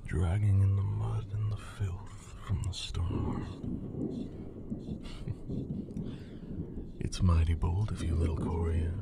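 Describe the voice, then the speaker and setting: A man playing a haughty, menacing, teasing vampire lord in an ASMR roleplay, with a theatrical drawl that often sinks toward a breathy whisper. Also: deep voice, steady tone, measured pace, heavy background noise, heavily acted. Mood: mocking disdain. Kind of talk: ASMR roleplay, scripted character acting.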